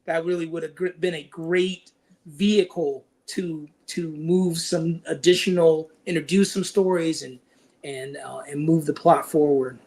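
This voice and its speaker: A man's voice: fairly high pitch, steady tone, measured pace, darkish tone. A man speaking as a panelist on a talk stream, chatting casually. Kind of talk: casual chat and reminiscing.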